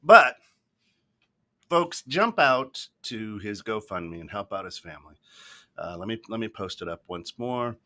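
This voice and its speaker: A man's voice: on the low side, lively intonation, a little slow, pushed and bright. A man co-hosting a live internet radio talk show, joking and laughing often, with frequent trailing, unfinished phrases. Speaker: co-host. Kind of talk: casual chat.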